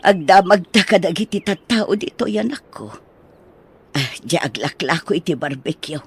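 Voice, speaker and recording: A man voicing a fretful, protective village mother in a radio drama, with a husky voice. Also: high-pitched, animated, normal speed, heavily acted. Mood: concerned, consoling.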